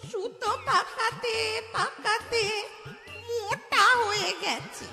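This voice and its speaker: A woman's voice: extremely high pitch, slow pace, pushed and bright, full character voice. A woman voicing a magical, crafty old spinning aunt in a Bengali cartoon, theatrical and sing-song. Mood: sly. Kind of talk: Bengali fairy-tale cartoon acting. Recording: echoey hall reverb, music behind.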